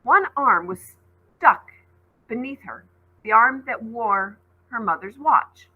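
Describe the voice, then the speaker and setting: A woman teacher reading a novel aloud to her class, in an expressive storytelling manner. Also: slow pace, husky, narrow, tinny audio. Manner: expressive read-aloud, voices rising high on called names.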